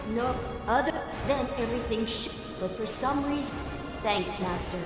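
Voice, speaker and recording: Earnest young girl student, voiced by a woman, high eager voice, game character, super echoey w/ music. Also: normal speed, natural voice. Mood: brave, reassuring. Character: earnest, brave young female student of a martial master. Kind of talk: video game voice acting. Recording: very echoey hall, distant, music behind.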